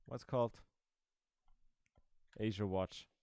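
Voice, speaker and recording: A man's voice: on the low side, steady tone, slow, creaky, mellow, smooth, no echo. A man giving casual, rambling commentary over a game he is playing, with dry, sarcastic asides. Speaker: gaming video creator.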